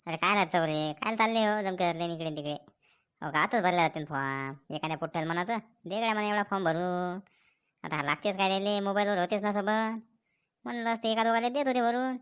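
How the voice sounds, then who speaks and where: A woman's voice: medium pitch, fast talker, clear, resonant tone, lightly acted. A woman voicing a nosy, sarcastic village man in a comic cartoon, needling in a rural Maharashtrian accent.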